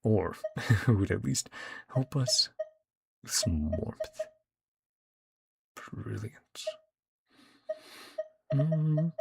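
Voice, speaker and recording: A man's voice: mid-range voice, sing-song, very slow, gravelly, long pauses, slightly rough. A man doing casual gameplay commentary as a streamer, often muttering to himself as he thinks. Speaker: streamer playing a video game.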